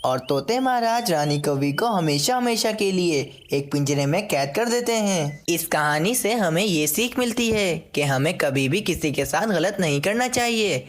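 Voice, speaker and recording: A woman narrates a children's tale from a script. Her voice sits a little low for a woman, and there is faint noise in the recording. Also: lively intonation, normal speed, hardly any pauses.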